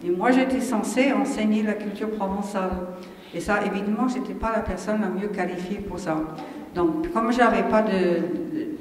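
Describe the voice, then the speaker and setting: A woman's voice: fairly low pitch, warm tone. An older woman from English-speaking Canada with an English Canadian accent, whose first language is English, giving a chatty, digressive, self-deprecating public lecture. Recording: echoey hall from a distance.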